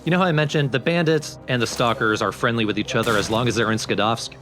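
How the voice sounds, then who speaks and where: A man's voice: mid-range voice, fast. A man hosting a let's play, explaining the game in casual commentary. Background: video game music.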